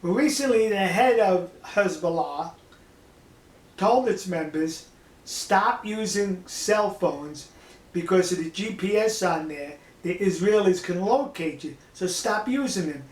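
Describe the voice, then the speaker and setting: High-pitched man, folksy and echoey. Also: expressive, unhurried. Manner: folksy, emphatic, rhetorical questions. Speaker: online political commentator. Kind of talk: casual monologue commentary to viewers.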